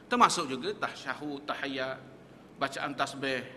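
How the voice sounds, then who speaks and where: A man's voice: mid-range voice, a little slow, pushed and bright, a little hiss or hum. Male religious teacher, lecturing in a small mosque hall with a long reverb; a tense, pushing delivery. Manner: emphatic, rhetorical questions, quotes Arabic texts.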